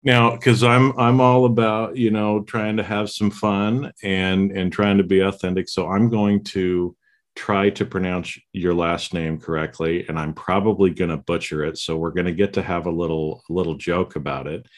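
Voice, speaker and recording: A man's voice: low-pitched, flat delivery, moderate pace. A man hosting a podcast interview, upbeat and chatty, laughing easily and often repeating words. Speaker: podcast host.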